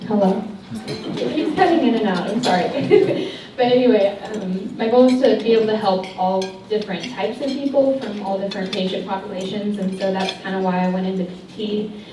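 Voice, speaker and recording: A woman's voice: mid-range voice, moderate pace, background noise. Student panelist, woman in her twenties, from Texas, chatty; distant mic in a reverberant hall, clinking cutlery behind. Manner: chatty, apologetic about a cutting mic, laughs often. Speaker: physical therapy student panelist. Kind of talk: panel answers at a luncheon. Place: large banquet hall. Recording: echoey banquet hall, distant mic, dish clatter. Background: cutlery and dishes clinking.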